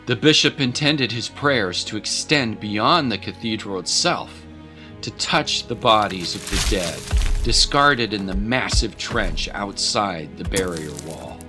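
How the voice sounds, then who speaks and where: A man's voice: lowish voice, measured pace, sharp tone, soft background music. A man narrating a scripted dramatic story as a voice-over.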